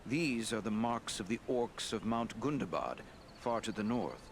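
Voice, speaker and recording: A wise and courteous lord from a fantasy game. His voice sits fairly low, with formal, measured phrasing and a grave manner. There is faint rumbling behind it. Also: lively intonation, slight background noise. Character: wise, courteous male lord counselling young heroes. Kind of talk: dramatic fantasy game acting.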